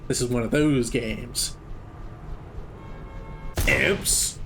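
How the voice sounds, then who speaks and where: A man's voice: mid-range voice, steady tone, extremely slow, vocal fry, fairly bright tone, faint music behind. A man giving casual, chatty live commentary on a game speedrun, explaining as he goes. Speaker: gameplay commentator.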